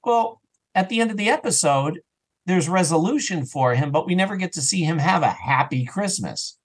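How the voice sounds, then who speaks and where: A man chatting casually and enthusiastically as an interview guest, rambling and animated, sometimes acting out bits of dialogue. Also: high voice, normal speed, husky. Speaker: interview guest, audio drama writer and actor.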